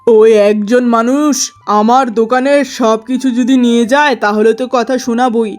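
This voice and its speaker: A woman's voice: a little high, steady tone, normal speed, a little mellow, soft background music, lightly acted. A woman voicing a domineering, fault-finding merchant husband in a cartoon story, stern and complaining. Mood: exasperated. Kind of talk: melodramatic cartoon story acting.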